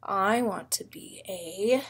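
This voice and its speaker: A woman's voice: mid-range voice, slow pace, noisy background. Woman reading as a discontented little storybook bunny. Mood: fed up, wishful. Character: discontented little boy bunny in a picture book.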